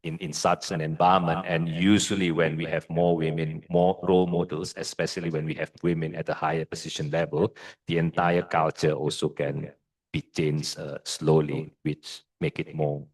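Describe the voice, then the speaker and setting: A man with an Indonesian accent, a guest lecturer answering audience questions in a measured, hesitant way with frequent fillers and restarts. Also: very deep voice, little pitch movement, breathy edge, rough recording.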